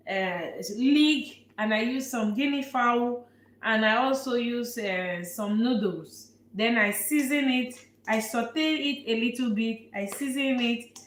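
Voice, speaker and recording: A woman chef from Nigeria, hosting a live cooking stream and chatting warmly with viewers. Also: on the high side, roomy sound, a little hiss or hum. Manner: warm, repetitive, answers viewer questions. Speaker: chef and channel host. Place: restaurant kitchen.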